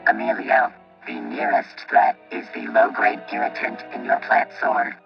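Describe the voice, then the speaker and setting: An actor playing a literal, unflappable robot protector in a radio play, formal and clinical, through a robotic filter. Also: male voice, fairly low pitch, completely flat delivery, a little slow, vocal fry, muffled recording, music in the background. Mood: deadpan, analytical.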